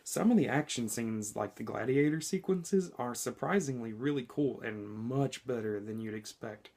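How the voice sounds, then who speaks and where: A man with a hoarse, slightly scratchy voice from being sick, chatting informally through a movie review with frequent ums and self-corrections. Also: medium pitch, normal speed, a little mellow. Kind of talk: casual spoken movie review.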